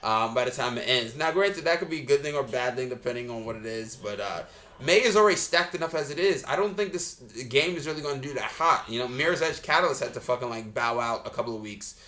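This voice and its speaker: A man's voice: medium pitch, lively intonation, fast, pushed and bright. A man giving a casual, off-the-cuff reaction commentary as a reviewer, talking in a rambling, blunt way. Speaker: video game trailer reviewer.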